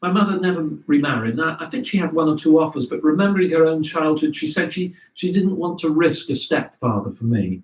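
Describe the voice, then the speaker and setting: A man reading a scripted memoir aloud in a measured storytelling way. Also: fairly high pitch, lively intonation. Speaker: reader of memoirs. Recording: roomy echo, distant microphone.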